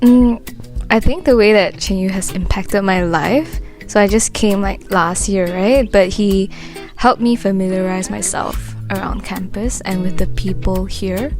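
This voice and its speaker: A woman college student speaking in a bright, chatty way in an interview. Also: mid-range voice, fairly even intonation, a little slow, soft background music. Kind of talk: casual interview testimonial.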